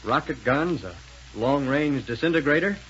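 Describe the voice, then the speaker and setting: A man playing a brisk, folksy space adventurer hero in a 1930s radio serial. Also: medium pitch, animated, fairly bright tone, close to an everyday voice. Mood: eager, explaining. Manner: folksy, decisive. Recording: muffled, noisy 1930s radio recording.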